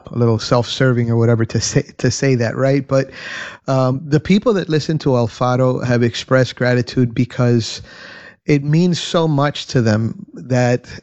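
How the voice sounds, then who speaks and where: Cuban American man, earnest interview guest, halting, mid pitch, lo-fi. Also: a little mellow. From United States. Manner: earnest, halting with many restarts. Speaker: radio program guest. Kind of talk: conversational interview. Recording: slightly dull lo-fi audio.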